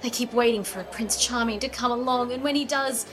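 A woman's voice: a little high, fairly even intonation, brisk, crowd noise, close to an everyday voice. A woman playing a strict, practical mother and store executive in a radio play, speaking in a firm, proper way. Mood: stern, insistent. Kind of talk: old-time radio play acting.

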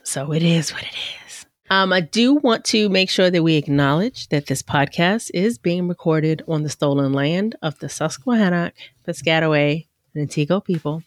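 A woman with a low voice hosts a podcast in lively, casual chat. The recording is dry and close to the mic. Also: dry recording. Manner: upbeat, lively and conversational. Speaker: podcast host.

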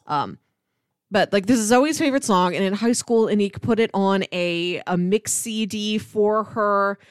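A woman's voice: mid-range voice, unhurried, clear, resonant tone, no echo, clean studio sound. A woman co-hosting a podcast, talking casually through her thoughts on a television show. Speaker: podcast co-host. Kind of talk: casual TV discussion chat.

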